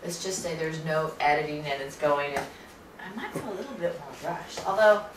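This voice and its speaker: A woman's voice: low voice, normal speed, distant mic. A middle-aged woman chatting casually and laughing while she chops vegetables at home. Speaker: cooking show co-host. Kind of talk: casual live cooking chat. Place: home kitchen, indoors. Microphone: distant camera mic. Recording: roomy kitchen sound from afar with noise.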